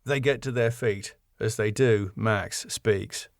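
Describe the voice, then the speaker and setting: A man reading the stage directions of his own sitcom script in a brisk, matter-of-fact way. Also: lowish voice, steady tone, no echo, studio quality.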